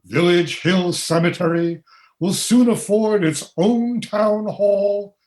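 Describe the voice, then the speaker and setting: A man playing a cautious, formal man of times past with dry dark humor in a radio play. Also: high voice, slow. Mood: musing, wry. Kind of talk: period radio play acting.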